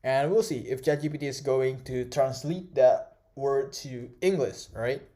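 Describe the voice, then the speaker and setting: A man's voice: mid-range voice, unhurried, dark tone. A young man whose first language is Indonesian, teaching an online course over a screen recording in a casual way, full of fillers and "right?" checks. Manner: casual, many fillers and tag questions.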